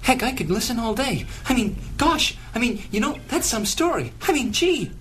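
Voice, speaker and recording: Casting: woman to voice excitable schoolboy, high and eager, audio comic, clear background noise. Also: moderate pace. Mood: awed. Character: eager, starstruck young boy with a skateboard. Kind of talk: old-fashioned audio comic acting. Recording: noisy ambience behind voice.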